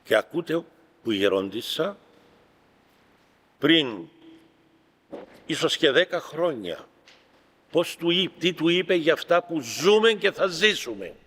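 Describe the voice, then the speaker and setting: Greek Cypriot bishop preaching in a reverberant hall. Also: male voice, on the high side, sing-song, a bit bright, slightly rough. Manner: deliberate, emphatic, pausing between short phrases. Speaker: Orthodox bishop giving a homily. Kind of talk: sermon, reading aloud from a book with commentary. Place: large reverberant room at a gathering. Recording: reverberant hall with long echo.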